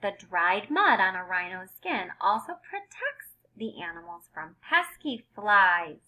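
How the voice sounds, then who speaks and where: Slow-paced woman teacher reading to young children. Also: mid-range voice, dull audio. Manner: deliberate, expressive, explains words simply for children. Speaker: kindergarten teacher reading aloud. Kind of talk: read-aloud lesson for young children.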